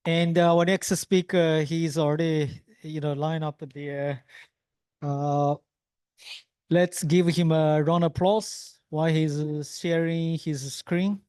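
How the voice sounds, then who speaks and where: A man's voice: fairly high pitch, fairly even intonation, a little mellow, smooth, no room sound. A man emceeing a meetup in an office room, handing over to the next speaker with a few hesitant "uh"s. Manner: unhurried, hesitant "uh"s.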